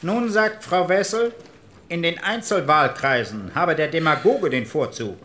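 An assertive male parliamentarian makes his case in formal oratory. His voice is high for a man and bright, with a forceful, insistent edge. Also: expressive, unhurried, slight background noise. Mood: emphatic. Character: emphatic, assertive male 1940s conservative parliamentary delegate. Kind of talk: formal political oratory from written transcripts.

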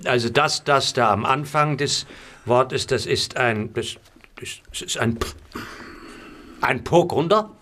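Simple, hesitant older sidekick. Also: male voice, medium pitch, a little slow, long pauses, pushed and bright. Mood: puzzled, obedient. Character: simple, obedient older accomplice, halting reader. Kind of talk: German radio crime drama acting.

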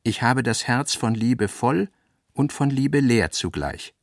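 A man reading literary narration for a radio play with measured, deliberate phrasing. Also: medium pitch, expressive, creaky, no echo, studio quality.

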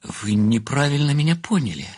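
An actor as a meek, obsequious elderly bookkeeper, dry-sounding. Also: male voice, mid-range voice, animated, normal speed, darkish tone, no echo, close to an everyday voice. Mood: earnest, reverent. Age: older. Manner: deferential, formal, wordy, earnest. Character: meek, obsequious retired bookkeeper turned amateur sleuth. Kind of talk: audio play acting.

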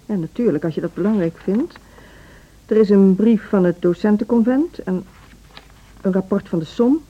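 An actress playing a formal, condescending woman who runs a music school in a radio drama, speaking in a measured, officious way. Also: mid-range voice, husky, lo-fi sound, close to an everyday voice. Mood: businesslike. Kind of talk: Dutch radio drama acting.

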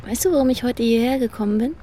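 An actress playing a withdrawn teenage girl in an audio drama, soft-spoken and hesitant. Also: fairly high pitch, quick pace, a little hiss or hum, close to an everyday voice. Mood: tentative, curious.

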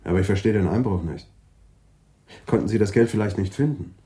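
An actor playing a matter-of-fact, businesslike senior police detective in a radio drama. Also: male voice, fairly low pitch, fairly quick, mellow, natural voice.

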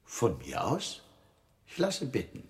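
Radio drama: scornful asylum inmate, gravelly, animated intonation, curt and mock-polite. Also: male voice, mid-range voice, takes it slowly, full character voice. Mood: grudging. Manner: curt, pointed, mock-courteous phrasing. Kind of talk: German radio drama acting.

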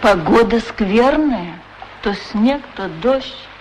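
An actress playing a pair of talking golden shoes in a radio play, soothing, gently chiding and expressive. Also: female voice, fairly high pitch, takes it slowly. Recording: dull, noisy 1960s radio recording.

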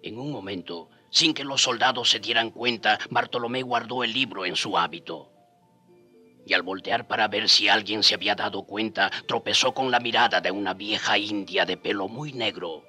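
An actor playing a friar's companion, recalling their journeys as a measured radio drama storyteller. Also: male voice, fairly low pitch, pushed and bright, slightly rough, faint music behind, heavily acted. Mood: reflective.

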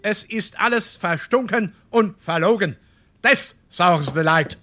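An actor playing a grumbling, scolding small-town husband in a dialect stage comedy, sarcastic, with a Darmstadt Hessian accent. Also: male voice, high-pitched, expressive, measured pace, bright, forceful tone, full character voice. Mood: exasperated. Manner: exasperated, sarcastic.

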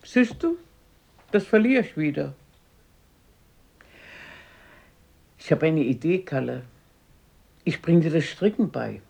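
An older woman acting a feisty, warm-hearted, plain-spoken working-class grandmother in a radio drama. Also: sits low, sing-song, moderate pace, gravelly, a little hiss or hum, heavily acted. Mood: gently teasing. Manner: plain-spoken, grumbling, down-to-earth.

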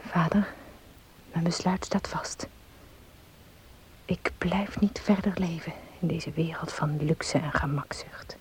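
A woman's voice: low voice, slow, long pauses, whispered. An actress playing an earnest, devout and resolute young woman in a radio drama, with polite, formal diction. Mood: solemn, determined. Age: young adult. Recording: noisy old radio play recording.

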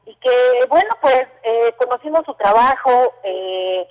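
A woman's voice: a little high, animated, slow, a little mellow, highs cut off. A woman hosting a community radio interview, chatty and full of fillers. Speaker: radio host. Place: radio studio.

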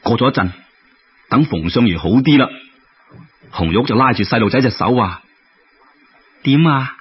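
A man with a Cantonese accent telling a folk tale in an animated, colloquial way, full of exclamations. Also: medium pitch, normal speed, slightly rough, muffled recording. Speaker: storyteller. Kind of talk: dramatic Cantonese-style folk tale storytelling.

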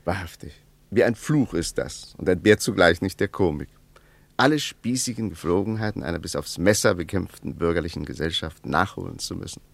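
A gravelly, reflective lecturing man. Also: deep voice, animated, no echo, full character voice. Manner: measured, argumentative. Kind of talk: 1950s radio play acting.

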